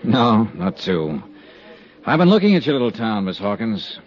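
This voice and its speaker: A dull, vintage radio recording carries a hard-boiled American insurance investigator. He is a man with a low voice and lively inflection. His delivery is clipped and steady, in 1950s radio drama style. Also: normal speed. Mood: calm, probing. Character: tough, steady male freelance insurance investigator. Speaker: lead actor and narrator.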